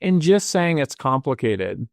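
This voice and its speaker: Casting: male co-host around fifty, easygoing political chat, mid-pitched, dry close mic. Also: sing-song, unhurried, vocal fry, no room sound. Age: 50s. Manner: conversational, agreeable, frequent affirmations. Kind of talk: political talk show discussion.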